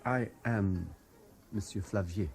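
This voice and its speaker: Haunted obsessive french guy, low warm voice, insistent and brooding, old radio play. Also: slow pace, a little hiss or hum. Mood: polite, insistent. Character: intense, haunted Frenchman obsessed with a lost love. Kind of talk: 1970s BBC radio drama acting.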